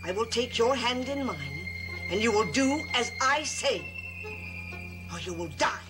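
Commanding, menacing woman over loud music. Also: fairly high pitch, lively intonation, measured pace. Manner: commanding, ominous. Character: menacing commanding woman with occult power, threatening. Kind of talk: gothic soap opera acting. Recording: old TV soundtrack, loud music.

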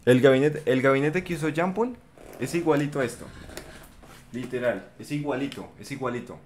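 A man's voice: mid-range voice, brisk, darkish tone, slight background noise. A young man with a Colombian accent, chatting and joking as he reacts to a video for his viewers, close to a studio mic in his bedroom. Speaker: reaction streamer. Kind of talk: casual reaction commentary. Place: bedroom streaming setup. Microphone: studio mic on arm in front.

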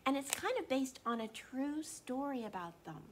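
Animated high-voiced older woman. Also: normal speed, a little hiss or hum. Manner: expressive, addressing children with questions. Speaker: children's librarian storytime host. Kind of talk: animated read-aloud storytime for children. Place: small indoor room.

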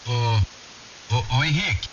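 A hissy, dull shortwave signal carries a Brazilian man of medium pitch. He talks casually and colloquially, like an amateur radio operator addressing his friends on the air. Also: a little slow. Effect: shortwave ham radio transmission. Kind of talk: casual chat over ham radio.

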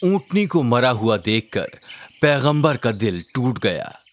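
A man voicing an encouraging father who narrates a children's cartoon story in an expressive way. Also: medium pitch, slow pace. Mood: solemn storytelling. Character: warm bespectacled father telling his child religious stories.

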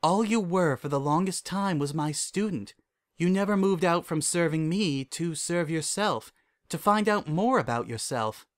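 Man voicing a gentle regal pony princess, pitched up. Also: animated, a little slow. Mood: gentle, reflective. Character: calm, kindly royal pony princess and mentor, female. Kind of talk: storybook character dialogue.

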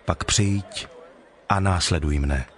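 A man playing Jesus as a solemn, authoritative teacher in a dramatized scripture reading, speaking in measured declarations. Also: deep voice, some chatter behind, close to an everyday voice. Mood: solemn, instructive. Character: Jesus, solemn teacher telling parables and instructing followers. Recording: music behind the voice.

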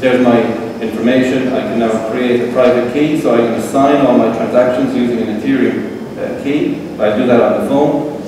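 A middle-aged man with an Irish accent giving a relaxed conference talk with a live demo, with frequent fillers, to a seated audience in an event hall. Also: mid-range voice, normal speed, few pauses, background noise. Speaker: tech presenter. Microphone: room pickup from far camera. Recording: roomy hall echo from far off.

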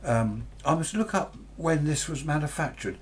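A man's voice: on the high side, moderate pace. An older man in his sixties or beyond with an English accent, chatting casually and musing aloud as he reviews gadgets unscripted. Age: 60s or older. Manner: rambling, chatty, muses aloud to himself. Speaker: radio hobbyist presenter. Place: small cluttered indoor radio workshop. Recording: noticeable background noise with hum.

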